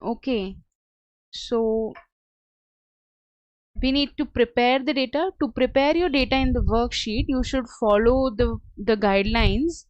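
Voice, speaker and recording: A woman working as a software trainer, giving a step-by-step screen tutorial in a hesitant, halting way. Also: fairly high pitch, no room sound. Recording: dull lo-fi close dry audio.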